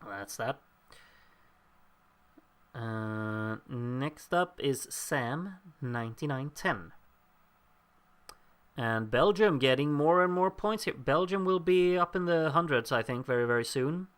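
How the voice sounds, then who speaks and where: A man hosts a live watchalong, talking casually through a scoreboard as votes come in. He reads out viewers' names with wry asides and laughs at his own stumbles. His voice sits at a middling pitch and sounds a little worn. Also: lively intonation, takes it slowly, pauses often, fairly bright tone, clear, resonant tone. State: losing his voice. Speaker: livestream host.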